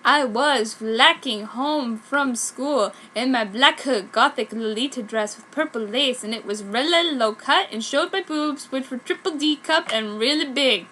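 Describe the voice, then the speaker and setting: A woman's voice: high-pitched, unhurried, few pauses, bright, forceful tone, slight background noise. A woman reading fan fiction aloud as an amateur, with a theatrical, exaggerated delivery. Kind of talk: dramatic amateur story reading.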